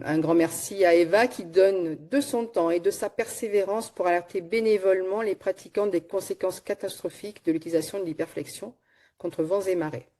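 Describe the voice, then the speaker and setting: Mellow-voiced woman introducing a webinar. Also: on the low side. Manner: formal, read-out introduction. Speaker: webinar host introducing the speaker. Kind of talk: scripted introduction.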